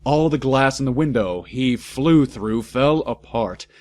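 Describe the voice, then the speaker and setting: A man's voice: medium pitch, animated, darkish tone, no echo. A man giving a comic, mock-serious dramatic reading of badly written fan fiction, keeping its mistakes and adding asides. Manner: mock-serious, deliberately reads out misspellings and mistakes.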